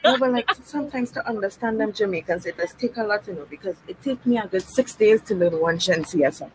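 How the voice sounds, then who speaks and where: A woman recounts stories in an easy, chatty way, with mid-range pitch. Faint noise hums behind her. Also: lively intonation, a little fast, rough recording. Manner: conversational, anecdotal. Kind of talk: casual chat.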